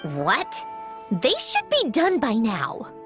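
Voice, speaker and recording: A woman's voice: on the high side, huge pitch swings, takes it slowly, soft background music, full character voice. An actress playing a proud, skeptical, easily exasperated young woman in a video game, with pointed questioning. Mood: indignant, flustered. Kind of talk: video game character acting.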